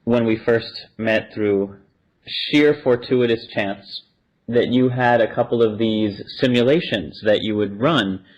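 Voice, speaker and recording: A man's voice: medium pitch, slow pace. A man hosting a podcast, introducing and questioning guests in a conversational interview style. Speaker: podcast host. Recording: dull, band-limited audio.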